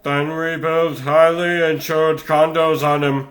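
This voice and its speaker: A man's voice: on the high side, level tone, takes it slowly, a bit bright. A man playing a crabby, greedy crab swindler who schemes and wisecracks in a comic book cartoon dub. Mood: smug scheming.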